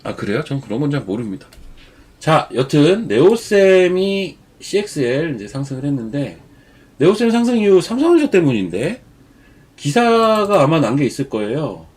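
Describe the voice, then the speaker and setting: A man's voice: on the high side, very animated, normal speed, darkish tone, breathy edge. A man with a cold giving chatty, rambling stock market commentary as the show's regular commentator. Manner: chatty, digresses into personal stories. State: has a cold. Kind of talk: casual market commentary on a live stream.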